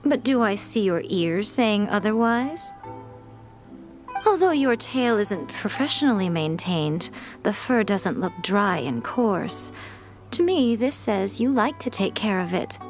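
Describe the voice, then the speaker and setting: An actress playing a sly, teasing, elegant fox shrine maiden in a video game, coy and poised. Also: female voice, medium pitch, animated, slow. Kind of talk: acted video game dialogue. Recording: music behind the voice.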